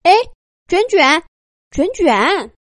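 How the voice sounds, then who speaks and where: Female narrator as a young male rabbit, high pitch, very animated, curious, pestering, excitable, storybook character. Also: no room sound, studio quality, full character voice. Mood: eager, curious. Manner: insistent, excitable rapid questioning.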